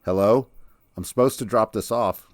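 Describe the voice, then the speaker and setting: Man voicing polite young woman, pitched up. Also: normal speed, no echo, studio quality, natural voice. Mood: calling out. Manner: polite, questioning. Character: young woman, polite, inquisitive, a little flustered.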